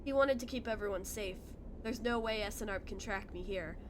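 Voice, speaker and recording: Woman reading as a quiet, fearful runaway android. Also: brisk, noisy background, natural voice. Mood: grim, resolute. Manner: quiet, low-key reading. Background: game music.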